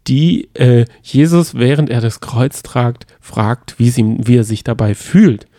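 Ein Mann plaudert als Co-Moderator eines Podcasts locker über Reality-TV, sarkastisch und meinungsfreudig. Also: male voice, mid-range voice, measured pace, dark tone, no room sound, studio quality. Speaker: podcast co-host. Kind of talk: casual chat about reality TV.

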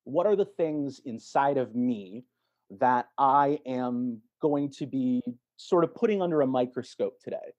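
A man speaking as an interview guest in a measured, reflective, explanatory way. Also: mid-range voice, animated, darkish tone, dull audio. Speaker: podcast guest, actor.

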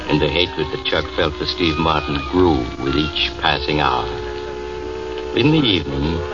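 A man narrating an old radio drama in a measured, ominous storytelling manner. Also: extremely low pitch, distant mic. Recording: dull old radio recording with music behind.

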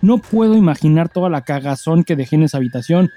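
A man's voice: a little high, expressive, fast, mellow, soft background music. A man with a Mexican accent, a podcast co-host who speaks in a slangy, sweary style. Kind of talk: casual podcast chat; dramatized reading of a listener email over music.

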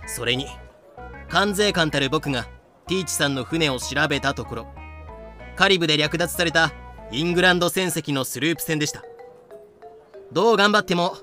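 A man's voice: a little high, a little fast, long pauses, sharp tone, soft background music. A man voicing a stiff, officious young colonial customs officer who speaks formally and politely in a fan audio drama. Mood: stern.

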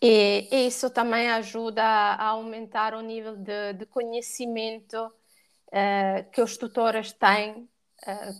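A woman from Italy with an Italian accent, a pet behaviour coach speaking as a podcast guest, talking with frequent fillers and restarts. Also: medium pitch. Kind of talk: podcast interview.

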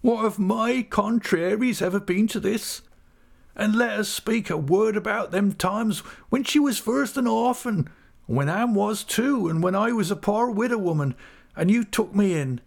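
A man's voice: high-pitched, expressive, measured pace, gravelly, voice pushed up, exaggerated voice. A man voicing a grieving older widow who pleads tearfully. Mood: pleading.

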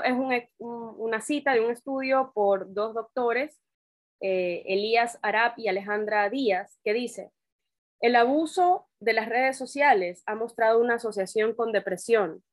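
A woman's voice: on the high side, dry recording. A woman co-presenting an informal, reflective talk.

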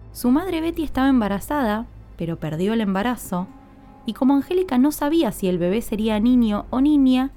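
A woman hosting a podcast, telling stories in a measured, scripted narration. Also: fairly high pitch, dark tone. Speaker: podcast host.

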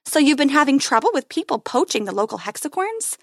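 A woman plays a confident heroine ranger in a space adventure tale. Her voice is fairly high, brisk and wry, recorded close and dry. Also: very animated, no echo.

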